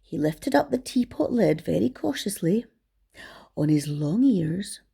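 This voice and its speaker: A woman reading to children as a storyteller, expressive and affectionate in how she addresses young listeners. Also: fairly low pitch, measured pace, gravelly, breathy edge.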